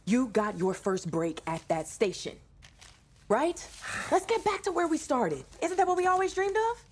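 A woman acting as a persuasive, excitable American dreamer in a naturalistic drama. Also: high voice, moderate pace, breathy edge, full character voice. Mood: coaxing, nostalgic.

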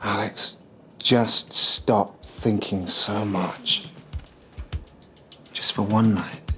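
A calm, deep-voiced caring friend. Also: male voice, very slow, heavily acted. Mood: reassuring. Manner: calm and reassuring. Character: caring, steady male friend. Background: music score.

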